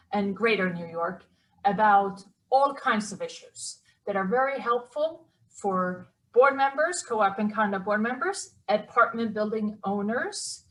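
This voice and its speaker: A woman's voice: medium pitch, a bit bright, distant mic, rough recording. A woman from Sweden with a Swedish accent, hosting an interview with upbeat enthusiasm. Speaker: host. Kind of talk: interview chat.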